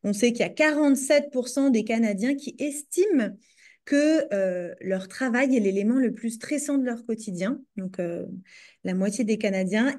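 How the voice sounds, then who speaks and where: A woman's voice: fairly high pitch, mellow. A woman with a French accent, presenting her doctoral research to a group over a video call, hesitating often with fillers and restarts. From France. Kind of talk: academic presentation.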